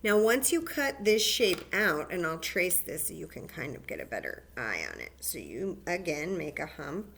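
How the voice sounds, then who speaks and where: A woman's voice: lowish voice, very animated, moderate pace, vocal fry, hardly any pauses, a bit bright, slight background noise. A woman hosting a library story time, guiding children patiently through a paper plate craft step by step.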